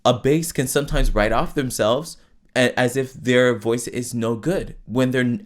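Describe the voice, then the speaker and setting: A man with a lower voice, hosting a podcast and explaining singing to listeners in a loose, chatty way, full of restarts and tag questions. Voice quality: lower voice with falsetto access. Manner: conversational, many fillers and restarts, frequent "right?". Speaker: podcast host and vocal coach. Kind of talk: casual teaching monologue.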